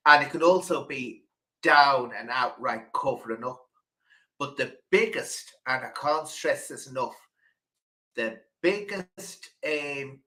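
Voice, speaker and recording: A man hosting a spontaneous campaigning talk, speaking haltingly and repeating words for emphasis. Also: mid-range voice, sharp tone, low-quality recording. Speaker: host.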